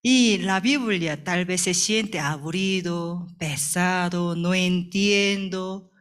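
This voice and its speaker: A woman from South Korea with a Korean accent and Korean as her first language, teaching the Bible to a congregation in halting, simple phrases with short check questions. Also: on the low side.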